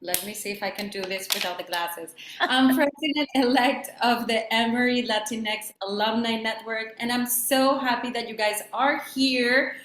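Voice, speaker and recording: A Spanish-speaking woman hosting a video-call webinar who talks warmly and earnestly, with small restarts and filler words. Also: on the high side, normal speed, echoey room, a little hiss or hum. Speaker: webinar host introducing the speaker. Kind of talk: casual welcome and introduction on a video call.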